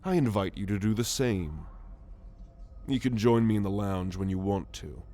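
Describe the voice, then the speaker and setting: A man's voice: fairly low pitch, normal speed, mellow, close to an everyday voice. A man playing a grumpy, cynical, world-weary alien spaceship crewman who grumbles sardonically in an ASMR roleplay audio drama. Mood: irritated, sardonic.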